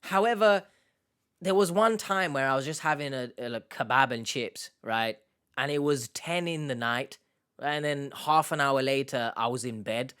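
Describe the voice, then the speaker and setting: A man's voice: mid-range voice, normal speed, fairly bright tone, clean tone. A young man, hosting a casual Q&A, rambling and joking as he answers viewer questions. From Turkish Cypriot heritage, based in the UK. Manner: jokey, rambling, self-mocking. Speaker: YouTube host.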